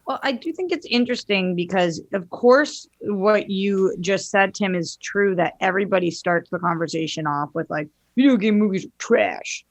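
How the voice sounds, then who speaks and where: A woman's voice: medium pitch, moderate pace, hardly any pauses, no room sound. A woman talking casually as a podcast panelist, giving opinions in an informal, sweary way.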